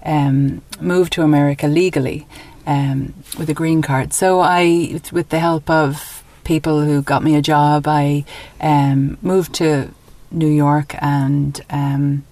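Low-voiced Irish woman in conversation. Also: steady tone, slow pace, few pauses, dark tone, no room sound. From Dublin, Ireland. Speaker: interview guest, painter. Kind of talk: conversational interview, personal anecdotes.

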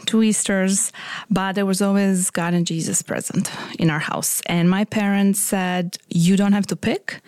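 A woman's voice: medium pitch, darkish tone, dry recording, studio quality. A woman in her forties from Croatia, speaking English with a Croatian accent, giving a reflective personal testimony in an interview.

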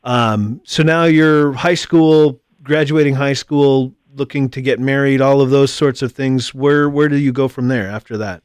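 A middle-aged American man hosting a casual conversational interview, thinking aloud in a wordy, halting way and often rephrasing. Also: medium pitch, fairly even intonation, clean tone, no echo, studio quality. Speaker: podcast host.

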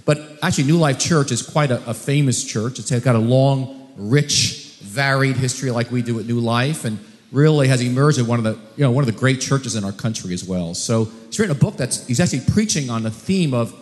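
A man, the church's pastor, introducing a guest preacher in a folksy way, pausing on fillers. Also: medium pitch, lively intonation. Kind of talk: church announcement. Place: church sanctuary. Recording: long hall reverb.

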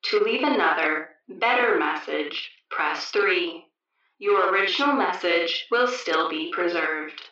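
A woman's voice: fairly low pitch, lively intonation, slow pace, sharp tone, dull audio, soft background music, heavily acted. A woman voicing an impersonal automated voicemail system reading menu prompts in an audio drama. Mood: impersonal, neutral. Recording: echoey, distant voicemail playback.

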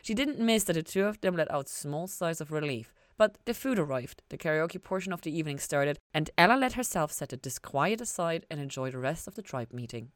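A woman reading fiction aloud as an expressive narrator on a podcast. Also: low-pitched, a little fast, hardly any pauses, no echo, clean studio sound. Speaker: reader of a fan fiction podcast.

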